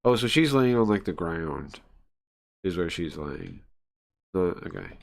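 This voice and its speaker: A man reading and translating a visual novel aloud on a stream in a halting, informal way, often searching for words and chatting along. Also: big pitch swings, gravelly. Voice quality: low-pitched voice. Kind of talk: casual live read-through, translating and chatting.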